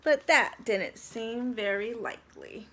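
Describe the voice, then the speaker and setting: A woman with a high voice narrates a picture book for children. Her delivery is very slow and deliberate, setting phrases apart expressively. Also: a bit bright. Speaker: storybook reader for children. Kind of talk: expressive scripted story narration.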